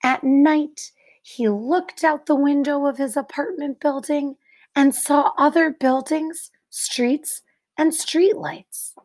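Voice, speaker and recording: A woman reading a picture book aloud like a teacher, expressively, with deliberate dramatic pauses and emphasis for young listeners. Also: high voice, a little mellow. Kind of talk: expressive children's read-aloud narration.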